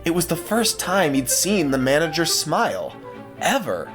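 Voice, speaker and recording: A man giving scripted, expressive narration for an audio drama in a storybook style, using dramatic pauses, with music underneath. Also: on the high side.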